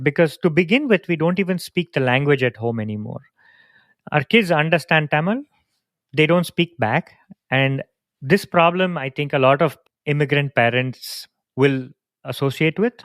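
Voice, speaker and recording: A man from India in a relaxed, reflective podcast conversation, telling family stories. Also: medium pitch, no echo, clean studio sound. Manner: reflective, storytelling. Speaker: podcast co-host. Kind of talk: casual conversation.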